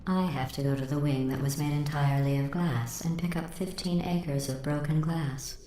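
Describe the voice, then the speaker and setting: A woman voicing a cold, sarcastic female AI that runs a test facility, with a synthetic robotic edge and a deadpan, passive-aggressive delivery. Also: low-pitched, normal speed. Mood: dry sarcastic. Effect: synthetic robotic processing. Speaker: antagonist. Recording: roomy echoing game audio with faint noise.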